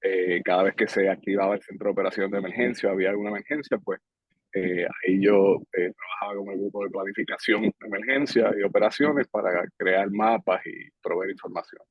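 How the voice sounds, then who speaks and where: A man, answering interview questions in a relaxed, measured way with frequent hesitations. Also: sits low, husky, no echo. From Puerto Rico. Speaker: guest, public safety director. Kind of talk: casual interview talk. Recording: dry, slightly dull podcast audio.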